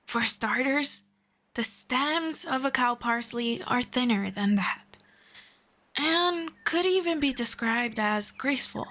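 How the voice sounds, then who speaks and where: A woman playing a friendly, knowledgeable elf in a scripted roleplay, speaking to the listener in a formal, measured, gently explanatory way. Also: fairly high pitch, exaggerated voice. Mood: friendly, instructive. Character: friendly, knowledgeable female elf guiding a human. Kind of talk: scripted roleplay audio, one-sided conversation with listener.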